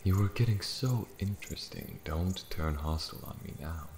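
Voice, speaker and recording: A man voicing an ancient, proud and amused dragon in elven-looking mortal form, in a slow, deliberate fantasy ASMR roleplay. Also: sits low, darkish tone, a little hiss or hum. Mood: proud, reflective. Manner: slow, deliberate, theatrical, breathy near-whispers at times. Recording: roomy echo, faint nature ambience with crickets.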